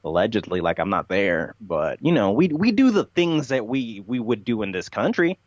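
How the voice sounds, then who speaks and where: A man's voice: fairly low pitch, sing-song, moderate pace, no room sound, muffled recording. A man being interviewed in a casual conversation, telling things in a rambling, matter-of-fact way. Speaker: podcast guest, interviewee.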